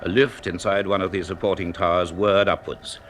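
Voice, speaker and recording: A reserved male professor in a science fiction radio drama. His voice sits fairly low and his delivery is formal, measured and literary. Faint noise runs through the recording. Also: steady tone, fairly bright tone. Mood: grave, descriptive. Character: reserved, brisk male university professor, drily storytelling. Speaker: narrator and lead character. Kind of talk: BBC radio drama acting and narration.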